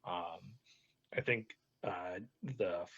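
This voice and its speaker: A man's voice: deep voice, extremely slow, fairly bright tone. A man casually talking through a card game deck as a channel host, in an easygoing, colloquial way. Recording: dull, lo-fi voice track.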